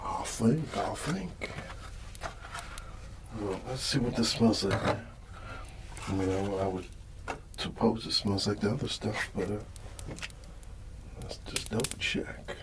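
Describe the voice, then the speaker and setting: A middle-aged man hosting an ASMR video, rambling casually and softly with a hesitant delivery. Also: lowish voice, takes it slowly, long pauses, background noise. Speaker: ASMR channel host.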